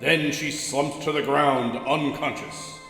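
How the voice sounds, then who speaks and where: A man's voice: fairly high pitch, steady tone, takes it slowly, fairly bright tone. A man giving emphatic, dramatic scripted narration of a superhero radio drama. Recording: echoey room with long reverb. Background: music.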